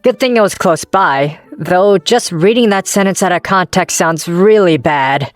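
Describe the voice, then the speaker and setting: A woman's voice: fairly low pitch, unhurried, dry recording, faint music behind, close to an everyday voice. Woman voicing a wistful young male office worker. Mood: wistful, wry. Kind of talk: manga dub narration and dialogue.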